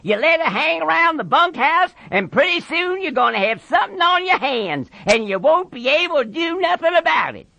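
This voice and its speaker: A man voicing a scornful, belligerent ranch hand in an audiobook, loudly taunting in a jeering way. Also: very high voice, big pitch swings, normal speed, creaky, few pauses, dry recording, pitched above the performer's own voice, heavily acted. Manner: jeering, loud, forceful. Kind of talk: dramatised audiobook of a novel.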